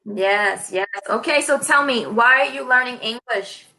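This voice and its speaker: A young woman from New York City talks as an online English tutor over a video call. Her tone is bright and clear, and she tends to repeat phrases in a friendly, measured way. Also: a little high, rough recording. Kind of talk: casual video-call conversation.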